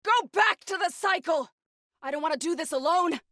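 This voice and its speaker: Intense, bright-voiced young warrior woman. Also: very high voice, expressive, moderate pace, dry recording, studio quality. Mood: grim, determined. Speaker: video game voice actor. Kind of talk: scripted game combat barks and companion lines.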